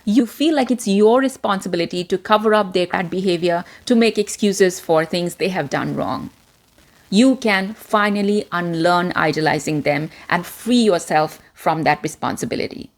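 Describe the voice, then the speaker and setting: A woman presenter with an American-influenced transitional accent, giving a deliberate, clearly spoken self-help talk to camera. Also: on the low side.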